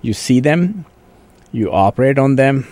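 A man's voice: mid-range voice, animated, measured pace, a little mellow. A man from Pakistan, a native Urdu speaker, answering radio interview questions as a guest in an earnest, thoughtful way, often restarting his sentences. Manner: earnest, thoughtful, with frequent restarts and "you know". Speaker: guest, orthopedic surgeon.

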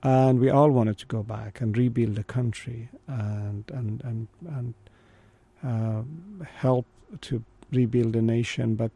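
Gravelly middle-aged man born in Iran. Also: medium pitch, flat delivery, dark tone, no echo. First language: Kurdish. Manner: thoughtful, halting storyteller. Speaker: guest. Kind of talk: conversational interview.

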